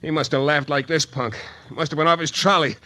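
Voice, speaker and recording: An actor playing a tough, wry private detective, narrating and talking in clipped, intense 1940s radio style. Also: male voice, a little high, fairly quick, sharp tone. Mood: tense, wry. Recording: 1940s radio broadcast recording.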